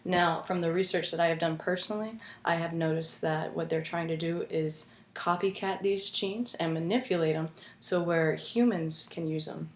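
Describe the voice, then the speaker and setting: A young American woman giving an informative class speech in an earnest, halting way, with frequent restarts, at home with her roommates listening. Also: lowish voice, steady tone, a little hiss or hum. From United States. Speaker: student speaker. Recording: echoey room.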